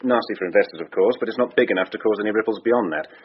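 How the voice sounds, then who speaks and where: Quick, low-voiced British financial expert. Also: male voice, slightly rough. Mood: keen, wry. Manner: colloquial, drily humorous explaining. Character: wry, eager male financial expert briefing police. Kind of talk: radio drama acting. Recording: dull, hissy radio drama recording.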